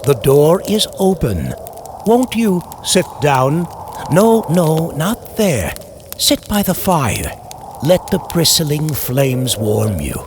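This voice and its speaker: A man narrating a horror story in old-time radio style, theatrical and menacing, sometimes dropping to a hushed whisper. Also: fairly high pitch, very animated, takes it slowly, background noise.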